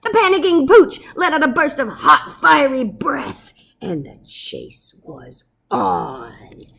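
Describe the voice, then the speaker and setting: A woman reading a children's story aloud as narrator, dramatic and expressive. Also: sits high, slow pace, gravelly, a little hiss or hum. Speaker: storybook reader. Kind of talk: animated read-aloud narration.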